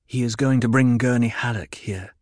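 A man's voice: mid-range voice, steady tone, moderate pace, darkish tone, no room sound, clean studio sound, lightly acted. A man voicing a pensive noblewoman's inner thoughts in an audiobook, restrained and reflective. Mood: tense musing. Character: pensive noblewoman, mother of the leader, inwardly thinking.

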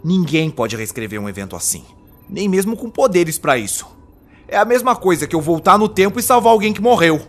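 A man's voice: high voice, sing-song, fairly quick, fairly bright tone, soft background music, heavily acted. A man playing an indignant, emphatic Time Lord in an audio drama, with a Brazilian accent. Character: indignant male Time Lord, renouncing his old title.

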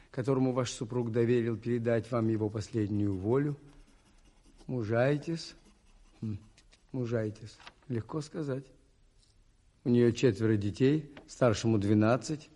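Radio play: male actor as soft-toned, husky priest, polite, measured, quietly persistent, compassionate. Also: mid-range voice, close to an everyday voice. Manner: polite, measured, gently insistent. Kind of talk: radio play acting and first-person narration in Russian.

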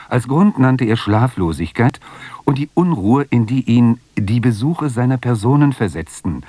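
A man's voice: mid-range voice, a little mellow, dry recording. Male broadcast announcer, mid-range voice, formal scripted introduction, dry studio sound. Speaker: radio announcer. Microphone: close studio microphone.

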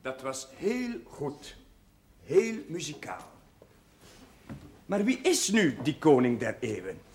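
A lively young chaplain teaching. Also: male voice, on the high side, takes it slowly, full character voice. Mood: patient, instructive. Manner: schoolmasterly, questioning, calling for silence. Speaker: actor in a TV drama series. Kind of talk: scripted TV drama dialogue. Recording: noisy old TV soundtrack.

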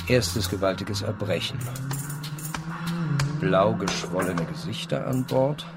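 An actor playing a sardonic travelling writer in a radio drama, caustic and clipped. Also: male voice, on the low side. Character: sardonic travelling writer narrating his journal. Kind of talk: radio drama narration and dialogue.

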